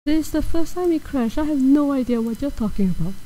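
A woman streaming a video game and chatting with viewers, talking softly in an attempt at ASMR and swearing when startled. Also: high-pitched, expressive, poor audio. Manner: soft, slow attempt at ASMR-style talk. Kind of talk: live gameplay chat with viewers.

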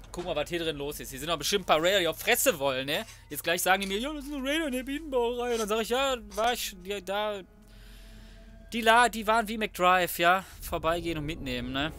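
A man's voice: high voice, pushed and bright, a little hiss or hum. A man giving casual, slangy, cocky let's play commentary, often muttering to himself. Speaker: let's player. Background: video game music and sounds.

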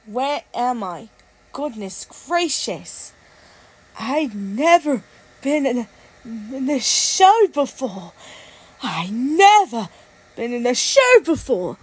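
A woman voicing a bewildered little cartoon character, halting and repetitive in delivery. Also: high voice, heavily acted. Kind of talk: amateur character voice lines.

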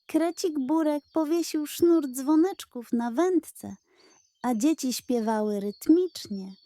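A woman reads a children's story as narrator. Her voice is fairly high yet very mellow, and she takes her time, gentle and expressive, in a close, dry sound. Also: slow, dry recording. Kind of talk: scripted children's audiobook narration.

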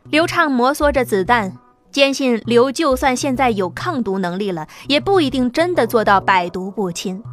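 A woman narrating an apocalyptic sci-fi audiobook in a lively, dramatic storytelling manner, with soft music underneath. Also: on the high side.

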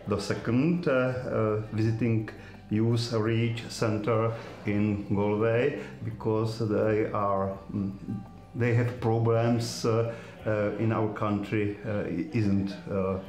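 A man in his sixties answers haltingly as a non-native English speaker. His fairly low voice stays flat, in an echoey office with music behind. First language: not English. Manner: halting, repeats words. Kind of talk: interview answer.

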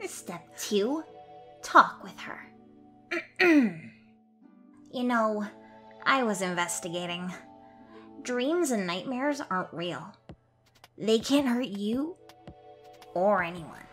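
A woman's voice: medium pitch, animated, slow pace, pauses often, a bit bright, soft background music. A woman voicing a small, prickly but caring female alien technician in a fan comic dub, speaking in a matter-of-fact, awkwardly upbeat way. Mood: earnest.

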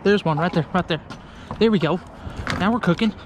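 A young man, chatting casually to his viewers from a small boat on a lake, getting excited when fish bite. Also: sits high, a little fast, background noise. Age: young adult. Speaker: fishing vlogger. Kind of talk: casual outdoor vlog commentary. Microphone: action camera on the boat.

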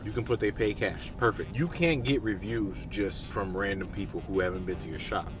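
A man in his thirties, a barber, gives direct, conversational business advice to camera from a parked car. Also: mid-range voice. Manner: direct, conversational, repeats points for emphasis. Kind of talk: casual advice vlog to camera.

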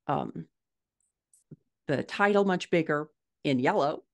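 A middle-aged woman answering interview questions conversationally, telling stories in an animated way on a video call from a room at home. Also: low voice, slow. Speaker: podcast guest, indie author. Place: small furnished room at home, indoors.

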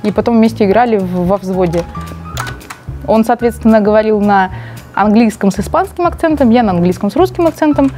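A woman's voice: medium pitch, brisk, warm tone, faint music behind. A young woman co-hosting a live stream, chatting playfully and reading out giveaway winners. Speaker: stream co-host. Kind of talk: casual live stream chat.

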